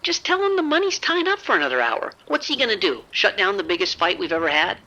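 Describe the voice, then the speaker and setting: An actor playing a reckless gambler in an audio drama, cocky and breezy, always coaxing and persuading. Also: male voice, high voice, very animated, fast, pushed and bright, highs cut off, full character voice. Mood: dismissive, reassuring.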